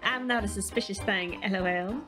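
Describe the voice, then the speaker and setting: A woman's voice: medium pitch, moderate pace, music in the background, voice pushed up, natural voice. A woman voicing a playful, boastful female saloon keeper who teases in a western video game. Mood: self-amused. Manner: playful, teasing, self-amused. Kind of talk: video game character read-aloud.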